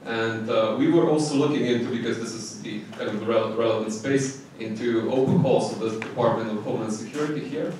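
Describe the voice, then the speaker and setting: Halting low-voiced man in echoey hall. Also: fairly even intonation, a little mellow. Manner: halting, self-correcting. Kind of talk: panel remarks. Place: conference hall. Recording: echoey hall, distant mic, faint noise.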